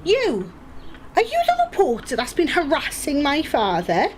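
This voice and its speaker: A woman's voice: high voice, wildly swooping pitch, unhurried, slight background noise, heavily acted. A woman playing a feisty daughter in a radio play, scolding and confrontational in defence of her father. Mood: angry, indignant.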